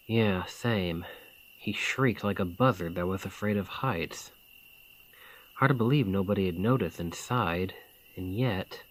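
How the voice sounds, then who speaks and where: A man acting a bandit leader in an ASMR fantasy roleplay, speaking in a colloquial, deliberate, conspiratorial manner. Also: lowish voice, full character voice, poor audio. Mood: wry, relieved. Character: gruff but caring male bandit leader, scheming, informal. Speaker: voice actor in audio roleplay.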